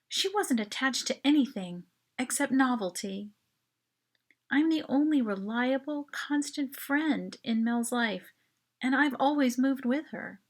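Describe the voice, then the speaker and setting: A woman reading a first-person short story as its author, in an expressive, dramatic narration style. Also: a little high.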